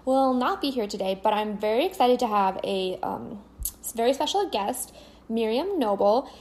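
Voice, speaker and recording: A woman's voice: fairly high pitch, expressive, moderate pace, darkish tone. Upbeat young woman podcast host. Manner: upbeat, chatty, uses fillers. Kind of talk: casual chat.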